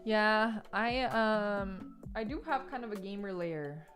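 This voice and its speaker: A woman's voice: mid-range voice, takes it slowly, fairly bright tone, faint music behind. Giggly rambling woman streamer. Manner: rambling, giggly, lists things with many ums. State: stuffed up after a missed sneeze. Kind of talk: casual stream chat while building a model kit. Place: home gaming room with sound panels.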